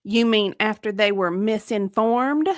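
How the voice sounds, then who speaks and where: A woman's voice: mid-range voice, a little slow, clean tone, no room sound, clean studio sound, close to an everyday voice. A woman acting the part of an evasive, defensive victim advocate under deposition, hesitant and clipped in a dramatic reading.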